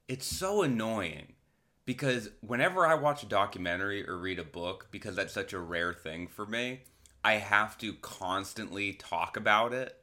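Deep-voiced man, bright and forceful. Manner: rambling, candid, self-deprecating. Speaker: podcast host. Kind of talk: casual comedic monologue.

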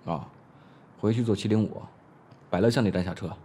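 A man's voice: on the low side, brisk, mellow, slight background noise, close to an everyday voice. A young man acting in a Chinese audio drama as a brash, impatient but protective schoolboy with a blunt, slangy way of talking. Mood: gruff, protective.

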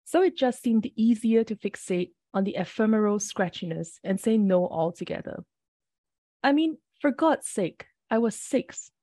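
A woman from Singapore, reading her poems aloud in a measured, deliberate way, her voice a little off from the early hour. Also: medium pitch, warm tone, no echo. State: slightly off early-morning voice. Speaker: poet. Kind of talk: poetry reading.

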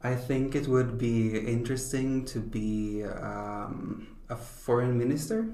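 A man's voice: mid-range voice, steady tone, slow, dark tone, reverberant. A man from Sweden, a politician and former teacher, speaking as a guest on a podcast over a video call. He talks hesitantly and often restarts his sentences. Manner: hesitant, many restarts and fillers. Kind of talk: podcast interview.

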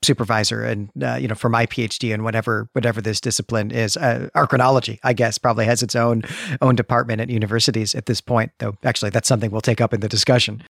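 A man chats as a co-host on a literary podcast. His voice sits at an average male pitch, and the sound is dry, with hardly any room around it. The delivery is casual and conversational. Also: flat delivery, fast, creaky, a bit bright, no room sound.

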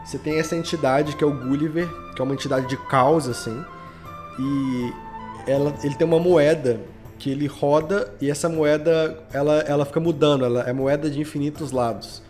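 Higher-voiced Brazilian man from Minas Gerais. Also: steady tone, normal speed, darkish tone. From Belo Horizonte, Brazil. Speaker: guest, audio drama podcast creator. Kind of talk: casual anecdotal chat. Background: background music.

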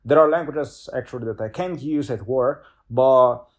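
A man's voice: mid-range voice, normal speed, lo-fi sound. A young man with an Italian accent, a guest in an interview, speaking English as a second language with many fillers and restarts. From Italy. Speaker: guest polyglot. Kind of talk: casual interview chat.

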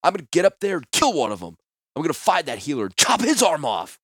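Man voicing a snarling, high-strained savage prisoner. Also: wildly swooping pitch, quick pace, breathy edge, no room sound, studio quality, exaggerated voice. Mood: vengeful, bitter. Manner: snarling, shouting, seething. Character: savage, vengeful wounded man, a desperate prisoner. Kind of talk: dramatic fantasy audiobook character.

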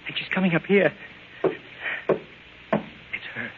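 High-pitched, frantic cowardly crook. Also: male voice, animated, takes it slowly. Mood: terrified. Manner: frantic, stammering, slangy pleading. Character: panicky, cowardly small-time crook accomplice. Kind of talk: 1940s radio acting. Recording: dull, noisy old radio broadcast.

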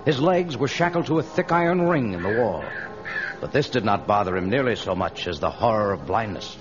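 A man's voice: on the low side, expressive, a little fast. A man narrating an old radio adventure serial in a grave, theatrical scripted style. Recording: dull old radio recording. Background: music.

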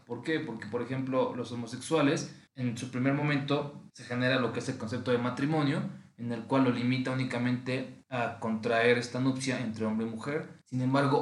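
A man's voice: medium pitch, reverberant. A man with a Mexican Spanish accent, hosting a podcast and giving a reflective philosophical monologue, posing rhetorical questions and answering them himself. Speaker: podcast host.

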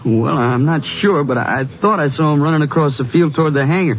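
A man's voice: on the high side, quick pace, a little hiss or hum, close to an everyday voice. An actor playing a polite, anxious small-town young man in a 1950s radio drama, hesitant and earnest. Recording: muffled vintage radio recording.

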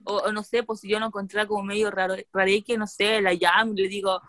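A woman's voice: mid-range voice, flat delivery, fast, fairly bright tone. A young Chilean woman with a Chilean accent, co-hosting a podcast and rambling through her thoughts. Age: young adult. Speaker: podcast co-host. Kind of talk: casual chat.

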